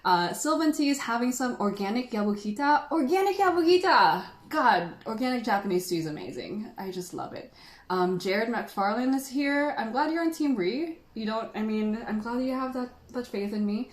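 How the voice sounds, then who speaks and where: A woman's voice: mid-range voice, reverberant. A woman who grew up in the Philippines hosts a casual livestream while tasting tea, chatting freely, laughing often and reading out viewer comments.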